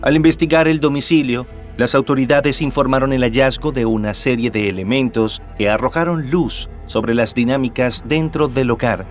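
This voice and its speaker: A man narrating a true-crime documentary from a script in a measured, formal way. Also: mid-range voice. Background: background music.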